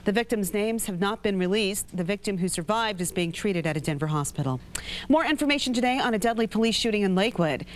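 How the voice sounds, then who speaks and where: Female news anchor, American, mid-pitched, measured scripted read with faint background noise.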